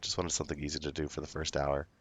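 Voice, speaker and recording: A young man streaming a game, with a hoarse voice from illness, chatting slowly and loosely. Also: extremely low pitch, monotone. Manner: slow, rambling, laid-back talk. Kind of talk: casual livestream chat.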